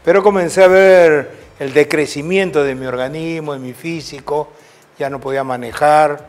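A man's voice: a little high, normal speed. An older man with a Peruvian Spanish accent, a former football coach telling rambling anecdotes in an interview, often ending with a questioning "right?". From Peru. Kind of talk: storytelling interview.

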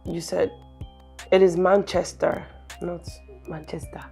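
A woman's voice: medium pitch, fairly even intonation, moderate pace, a little mellow, breathy edge, soft background music. An actress playing an outspoken young female captive who is cheeky and also pleads, in a film drama. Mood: blunt, mocking. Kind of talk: film acting.

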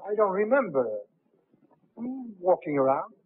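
A fussy, absent-minded gentleman in an old 1930s film. His voice sits high and he dithers with prissy indignation. The soundtrack is thin and muffled. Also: sing-song, unhurried, breathy edge, dry recording, full character voice. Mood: evasive.

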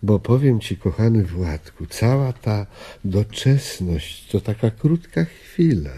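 An actor playing a dead old friend in a radio drama, a husky older man who coaxes and lures theatrically. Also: lowish voice, big pitch swings, slow pace, dark tone, heavily acted. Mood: luring, tender. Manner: coaxing, seductive, theatrical. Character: dead old friend, a coaxing male ghost.

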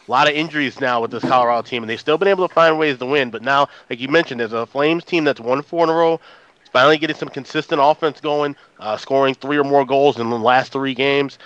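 A man of thirty with a headset, giving a steady analytical breakdown in a casual sports betting chat. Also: mid-range voice, muffled recording. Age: 30s. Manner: brisk, analytical. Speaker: guest handicapper.

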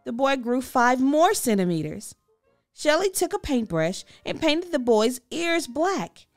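Animated woman reading a storybook, unhurried. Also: high voice, no room sound, soft background music. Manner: expressive, deliberate storytelling. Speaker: storybook reader. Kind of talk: children's read-aloud narration.

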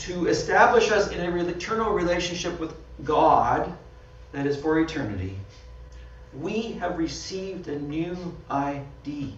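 A man's voice: on the high side, animated, unhurried, slight background noise. A man with a Canadian accent, a pastor giving a conversational sermon with slides, laughing at himself often. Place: small church hall. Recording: dull, roomy sound from far off.

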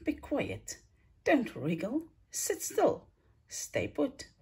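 A woman's voice: a little high, wildly swooping pitch, extremely slow, dark tone, husky, full character voice. A woman voicing a bossy, scolding flock of sea snails in a children's storybook.

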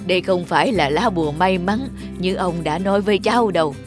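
A kindly old grandfather from a cartoon, acted by a man. He speaks gently and reassuringly, as if caring for little ones. Clear background music plays under him. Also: high-pitched, brisk, close to an everyday voice. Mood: gentle, wise. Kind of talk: cartoon dubbing. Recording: music behind the voice.

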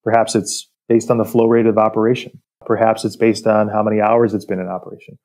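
A man with a relaxed, mellow voice sits a little low in pitch. He talks as a technical guest in an interview, laying out industrial detail in a measured, explanatory way. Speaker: technical director, podcast guest.

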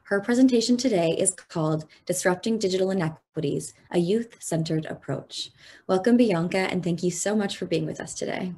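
A woman hosting a conference, reading a speaker introduction in a measured way. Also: fairly low pitch, warm tone, slightly rough. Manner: measured, slowing on list items. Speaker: conference host.

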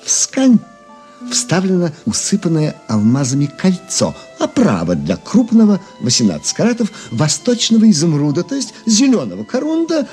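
Erudite, highly animated male connoisseur. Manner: expansive, learned, savouring words. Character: erudite, expressive male gem connoisseur telling legends. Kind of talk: radio drama acting.

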